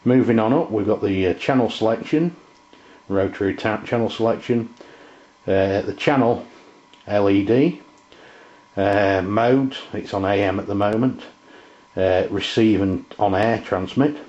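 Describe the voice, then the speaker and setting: Low-voiced man, hesitant. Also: darkish tone. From England. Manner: hesitant, halting, unscripted delivery. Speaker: presenter, radio hobbyist. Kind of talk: informal product review. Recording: muffled, roomy camera sound.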